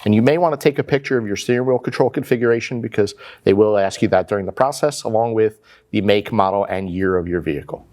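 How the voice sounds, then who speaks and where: A man's voice: lowish voice, quick pace, a little mellow. Plain-spoken American man giving instructions. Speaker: product installation instructor. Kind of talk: step-by-step tutorial narration.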